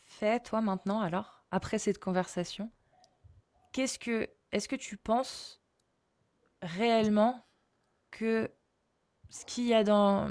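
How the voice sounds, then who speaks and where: Une femme qui anime une conversation sur la religion et qui pose des questions insistantes, en réfléchissant à voix haute avec des hésitations. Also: female voice, medium pitch, vocal fry, darkish tone, no room sound. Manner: questioning, insistent, thinks aloud with fillers. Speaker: host.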